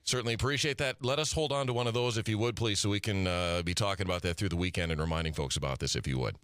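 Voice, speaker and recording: A man's voice: low-pitched, racing, fairly bright tone, no room sound, studio quality. Upbeat male radio host. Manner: chatty, upbeat. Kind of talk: radio interview. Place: radio studio.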